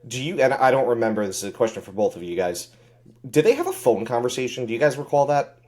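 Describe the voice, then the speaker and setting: A man's voice: mid-range voice, fast talker. A man co-hosting a podcast who makes his point with energy but keeps second-guessing his own memory. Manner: animated, repeatedly second-guesses himself. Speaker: podcast co-host. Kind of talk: casual podcast conversation.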